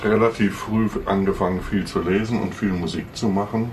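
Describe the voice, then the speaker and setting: A man in his sixties, a philosophy professor, answering interview questions calmly and matter-of-factly. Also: sits low, moderate pace, background noise. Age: 60s.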